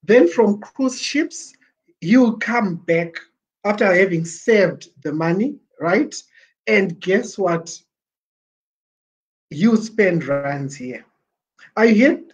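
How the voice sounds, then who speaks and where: A Zimbabwean man in his forties talking on a webcam livestream, animated and gesturing, slipping Shona words into his English. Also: sits high, a little slow, slightly rough, low-quality recording. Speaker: livestream presenter. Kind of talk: casual livestream pitch. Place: small plain room indoors. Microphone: webcam at desk.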